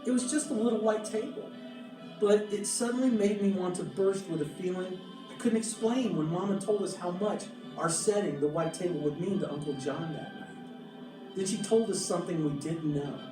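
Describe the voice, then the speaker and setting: A man reading a picture book aloud in a measured, expressive storytelling manner, with deliberate pauses. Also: high voice. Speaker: storybook reader. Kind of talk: scripted picture-book narration read aloud. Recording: roomy echo, distant mic, music behind. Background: music.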